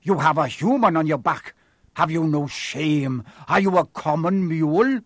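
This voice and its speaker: A man's voice: on the high side, expressive, a little slow, no echo, studio quality. A man voicing a proud, angry male centaur who speaks in a thundering, scolding manner.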